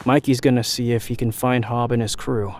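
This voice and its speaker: A man's voice: mid-range voice, fairly even intonation, brisk, a little mellow, natural voice. A man playing a serious teenage turtle team leader in an audio drama, level-headed and direct. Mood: resolute.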